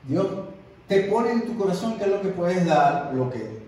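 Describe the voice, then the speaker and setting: A man's voice: high-pitched, animated, a little slow, dark tone. A man preaching a sermon as an evangelist, emphatic and repeating his words for stress. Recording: echoey room, distant mic.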